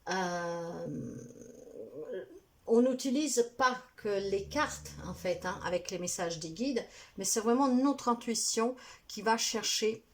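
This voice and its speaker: A woman's voice: mid-range voice, lively intonation. Hesitant woman giving a card reading. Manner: hesitant, halting, many fillers. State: tired. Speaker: spiritual healer and card reader. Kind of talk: casual monologue to viewers.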